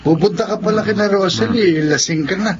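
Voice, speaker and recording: A man's voice: high voice, brisk, lightly acted. A man acting an exasperated young drinking buddy in a radio drama. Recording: dull, noisy lo-fi radio audio.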